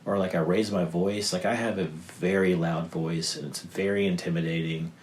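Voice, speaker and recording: Deep-voiced man rambling with fillers. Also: fairly even intonation, normal speed, a little mellow, a little hiss or hum. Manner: rambling, many fillers and restarts. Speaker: guest, father of three. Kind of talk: casual podcast conversation.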